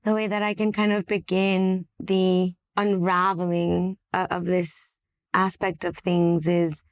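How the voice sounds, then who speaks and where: A woman from Venezuela talks reflectively as a podcast guest. Her voice is slightly low with a clear creak, and she sounds earnest and searching as she tells her story. The recording is very dry. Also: flat delivery, takes it slowly, no echo. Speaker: podcast guest, shamanic practitioner. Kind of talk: reflective personal storytelling in conversation.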